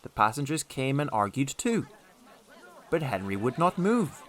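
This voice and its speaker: A man narrating a scripted children's story as an audiobook, expressive and deliberate in his storytelling. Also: mid-range voice.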